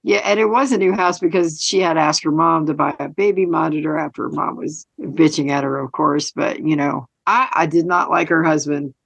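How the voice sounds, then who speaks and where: Female co-host, lower-pitched and husky, dry recording. Informal, casual review conversation. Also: a little fast, few pauses, no echo. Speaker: podcast co-host. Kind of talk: casual podcast discussion.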